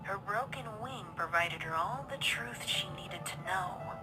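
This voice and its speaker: A woman's voice: fairly low pitch, animated, a little slow. A woman reading scripted narration for a dramatic story. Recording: loud music bed behind voice. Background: music.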